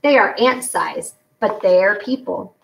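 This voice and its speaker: A woman's voice: medium pitch, expressive, measured pace, vocal fry, a bit bright, heavily acted. An earnest young storybook girl, as a woman reader plays her, speaks out with dramatic, emphatic indignation. The recording is echoey and a little distant from the mic. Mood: indignant. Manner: exclaiming, emphatic.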